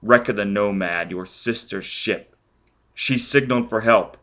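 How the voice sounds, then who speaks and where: A man's voice: fairly low pitch, unhurried, fairly bright tone. A man voicing a ruthless, vengeful man who barks demands and threats. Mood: hard questioning. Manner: menacing, barking demands. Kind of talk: audiobook character voice.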